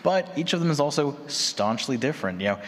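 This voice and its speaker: A man's voice: on the high side, animated, brisk. A man speaking conversationally as a podcaster on a panel. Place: large convention room with audience. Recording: echoey hall PA, noisy room.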